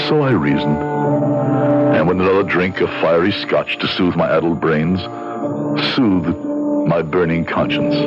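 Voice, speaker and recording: An actor playing an earnest, troubled doctor, speaking in a measured, dramatic way in an old-time radio drama. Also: male voice, low voice. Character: earnest, troubled young male doctor recalling his guilt. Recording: muffled old radio recording with music.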